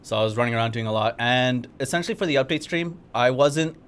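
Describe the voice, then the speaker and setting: A young man co-hosting a live game event stream, upbeat, talkative and easily excited. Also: mid-range voice, fast talker, smooth. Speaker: game community manager. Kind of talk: casual livestream co-hosting. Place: studio in Korea.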